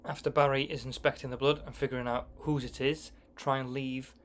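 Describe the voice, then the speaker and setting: A man's voice: medium pitch, level tone, a little fast. A man giving explanatory gameplay commentary as the narrator of a video game guide. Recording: faint background noise with clicks.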